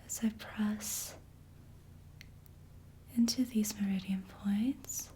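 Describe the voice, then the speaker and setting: Woman, breathy ASMR whisper, slow delivery, soothing guided relaxation, faint background rumble. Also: fairly even intonation. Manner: slow, soothing, gently instructive. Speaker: ASMR reiki practitioner.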